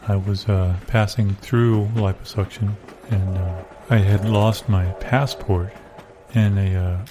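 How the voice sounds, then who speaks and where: A man playing a rambling musician in improvised comedy, reminiscing in a deadpan, hesitant way. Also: on the low side, takes it slowly, music in the background. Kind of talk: improvised comic dialogue and storytelling.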